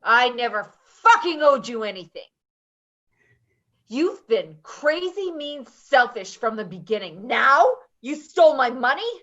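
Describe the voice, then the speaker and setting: A woman's voice: fairly high pitch, big pitch swings, a little slow, sharp tone, dull audio, full character voice. A woman acting in a play reading as a volatile, foul-mouthed woman who makes confrontational, threatening demands. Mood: furious, threatening.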